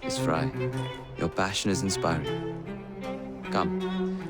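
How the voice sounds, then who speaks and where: An earnest assassin guide, a man of polite and measured speech. His voice sits fairly low and sounds formal and grave. Prominent orchestral music plays behind him. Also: big pitch swings, heavily acted. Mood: courteous, earnest. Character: earnest, courteous male assassin mentor and informant. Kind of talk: video game cutscene acting.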